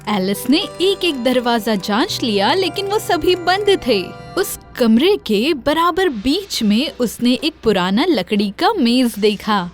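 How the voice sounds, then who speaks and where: A woman narrating a scripted children's fairy tale in an expressive storytelling manner. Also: high voice, hardly any pauses, soft background music.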